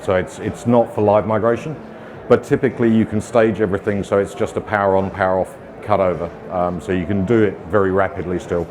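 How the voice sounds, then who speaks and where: A man's voice: sits low, fairly even intonation, brisk, noisy background. A middle-aged man from the UK with a British accent, giving a casual, self-deprecating technical conference talk full of ums and uhs. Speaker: conference speaker. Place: conference room with audience.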